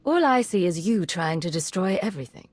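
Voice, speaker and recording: An actress playing a determined, businesslike secret agent heroine in a video game, direct and terse. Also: female voice, on the low side, expressive, a little fast, a little mellow. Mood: challenging. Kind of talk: video game dialogue acting.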